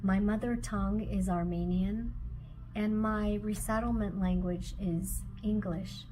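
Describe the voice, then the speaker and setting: Russian-native woman speaker, mid-pitched and unhurried, reflective, faint background hiss. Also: steady tone, dark tone, poor audio. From former Soviet Union. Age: adult with children. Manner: measured, phrase by phrase with pauses. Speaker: author and speaker. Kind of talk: reflective personal talk.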